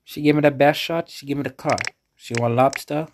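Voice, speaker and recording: A man's voice: medium pitch, fairly even intonation, a little fast, slight background noise. Bahamian man doing casual game commentary. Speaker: gaming video host.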